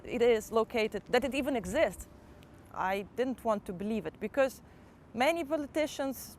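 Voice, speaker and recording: Earnest Ukrainian-accented woman, higher voice. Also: slight background noise. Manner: calm, earnest and measured, with small restarts. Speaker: motivational teacher. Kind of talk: personal first-person reflection.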